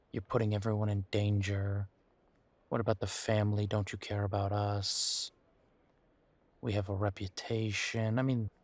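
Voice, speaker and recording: Wounded, sardonic werewolf male actor for intimate audio roleplay: lowish pitch, unhurried and hesitant, confiding. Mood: bitter, resentful.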